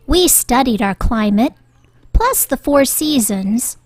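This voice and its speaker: A woman reading a children's story aloud in an expressive, rhyming sing-song way, with deliberate delivery. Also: sits high, no room sound. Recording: music bed under the voice.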